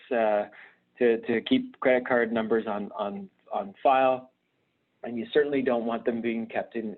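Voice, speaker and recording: A man gives an instructional live webinar presentation, explaining things conversationally and haltingly, often hesitating and repeating words. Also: on the low side. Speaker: presenter at a virtual conference. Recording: narrow, phone-like online call audio.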